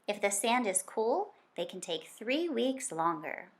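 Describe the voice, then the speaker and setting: A woman reads a children's nonfiction picture book aloud like a teacher, with expressive, deliberate storytelling phrasing and stretched key words. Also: on the high side.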